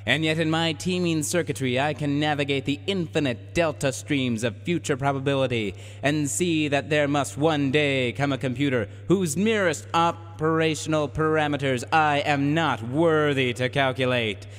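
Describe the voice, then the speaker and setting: A man's voice: on the high side, moderate pace, hardly any pauses, a bit bright, lightly acted. A man playing a pompous, haughty supercomputer in a science fiction radio drama, declaiming in grandiose archaic phrases. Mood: solemn, prophetic. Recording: long reverberant hall echo.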